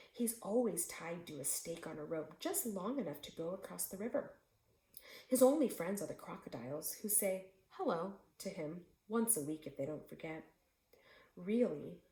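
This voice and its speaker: Woman reading as an earnest old storybook cat, animated. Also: mid-range voice, roomy sound. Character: old female cat, patient, kindly storyteller.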